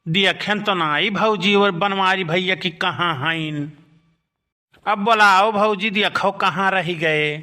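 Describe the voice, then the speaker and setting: A man voicing a sly young village man in a cartoon comedy, with an Awadhi accent and a slow drawl. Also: high-pitched, sharp tone, exaggerated voice.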